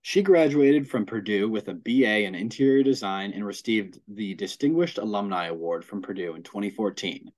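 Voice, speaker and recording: A young man co-hosts a podcast with a low voice, reading the introduction and then chatting in an upbeat way. He hurries a little when he isn't reading. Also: normal speed, hardly any pauses, a little mellow, poor audio. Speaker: student podcast co-host. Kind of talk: scripted intro, casual chat.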